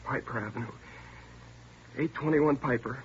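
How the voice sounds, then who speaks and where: An actor playing a scared twenty-year-old car thief in a 1950s radio drama, sullen at first and then pleading. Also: male voice, mid-range voice, normal speed, lightly acted. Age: young adult. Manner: sullen and curt, breaking into frantic pleading. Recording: dull old radio broadcast with faint hiss.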